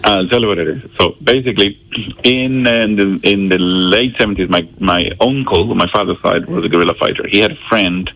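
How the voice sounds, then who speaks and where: Man, very low voice, halting speech with restarts, radio interview guest. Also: a bit bright, poor audio. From El Salvador. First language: Spanish. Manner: halting, many restarts and fillers.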